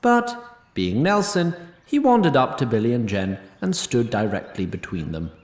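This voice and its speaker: A roomy-sounding actor as a male storyteller narrates a fantasy audio drama. He is formal, precise and dryly pedantic, with pitch that swings widely for emphasis. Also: very animated, normal speed, a little mellow, close to an everyday voice. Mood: wry, formal. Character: wry, pedantic, faintly exasperated storyteller of a fantasy tale.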